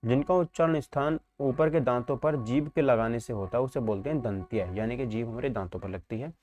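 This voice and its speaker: A man's voice: mid-range voice, fairly quick, darkish tone. Male exam coaching teacher. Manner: instructive, often asks himself questions then answers, checks understanding. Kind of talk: question-and-answer exam lesson.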